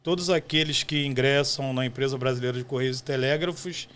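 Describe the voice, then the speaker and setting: A man's voice: medium pitch, fairly quick, fairly bright tone, slight background noise. A man in his fifties with a Brazilian accent from Rio de Janeiro, answering in a conversational interview style as a podcast guest. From West Zone of Rio de Janeiro, Brazil. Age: 50s. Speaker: podcast guest, postal union director.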